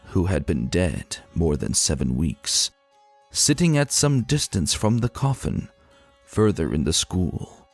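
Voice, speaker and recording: A man reading a scripted old ghost account as narrator, in a measured, formal storytelling manner. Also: deep voice, vocal fry, no room sound.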